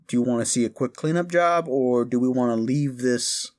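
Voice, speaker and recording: A man playing a gleeful, mischievous accomplice in a comedic audio roleplay, chatting excitedly with hesitant asides. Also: medium pitch, moderate pace, close to an everyday voice. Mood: casual, wary. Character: gleeful, mischievous young man, amused accomplice in dark prank.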